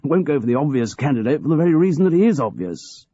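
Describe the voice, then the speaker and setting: Man doing an older male boss, petulant and self-pitying, voice pitched a bit higher and pushier than the narration; husky, dull lo-fi sound. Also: lively intonation, normal speed, mellow, no room sound. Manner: testy, querulous. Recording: dull lo-fi recording.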